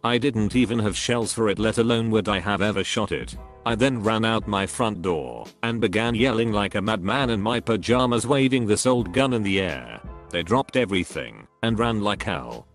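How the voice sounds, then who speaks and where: A man narrating first-person stories in a steady, even read-aloud delivery. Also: lowish voice, normal speed, faint music behind. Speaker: narrator reading online stories.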